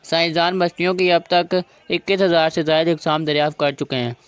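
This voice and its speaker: A young man from Pakistan with a high, smooth and resonant voice. He narrates a vlog in a steady, formal way, recorded close and dry with no room sound. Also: brisk, no echo. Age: young adult. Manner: formal, reads facts in steady Urdu. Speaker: vlog host.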